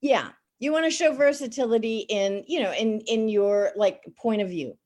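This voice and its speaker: A middle-aged woman voice actor with a raspy voice, talking in a casual, sarcastic, chatty way in an interview. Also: a little high, normal speed, darkish tone. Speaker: voice actor and teacher.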